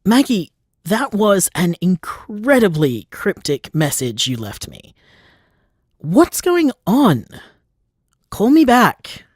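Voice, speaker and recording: Man acting as a slow, halting, wounded man. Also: high-pitched, very animated, husky, dry recording, clean studio sound, full character voice. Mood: confused, urgent. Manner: halting, repeating himself, emotional. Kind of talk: audio drama voicemail monologue.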